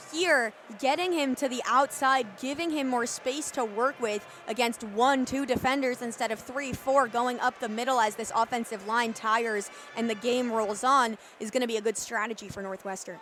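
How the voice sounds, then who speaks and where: A woman's voice: on the high side, a bit bright. A young woman giving live color commentary on an American football game. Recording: live stadium booth with background noise. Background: stadium ambience.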